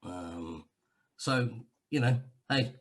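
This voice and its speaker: A man from England speaks in a husky, slightly rough voice at an ordinary pitch. He talks casually as a guest, circling back on himself and joking at his own expense. The tone stays conversational throughout. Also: animated, very slow. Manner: chatty, self-deprecating, rambling with restarts. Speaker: guest sharing a testimonial. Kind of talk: casual video-call chat. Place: Cyprus.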